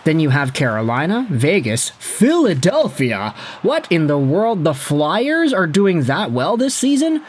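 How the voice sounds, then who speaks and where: Young male host with a slightly high voice, loose and conversational, for casual solo sports commentary. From Philippines. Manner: chatty, conversational, with lively jumps in pitch. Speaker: hockey channel host.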